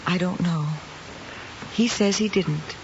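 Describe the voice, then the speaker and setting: A composed, probing schoolteacher in a mid-century screen drama. She speaks in a measured, tactful manner. The soundtrack is muffled with clear noise behind her. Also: lowish voice. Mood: concerned, tactful. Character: composed, probing female schoolteacher, concerned and fair. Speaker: teacher. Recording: muffled, noisy old film soundtrack.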